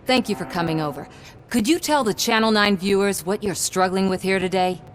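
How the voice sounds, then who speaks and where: A woman's voice: medium pitch, a little fast, close to an everyday voice. A self-assured female TV reporter played by a woman in a game cutscene. Her mid-range voice is persistent and persuasive as she probes for answers. Light noise runs underneath. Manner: smooth-talking, probing, persuasive. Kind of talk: video game cutscene acting.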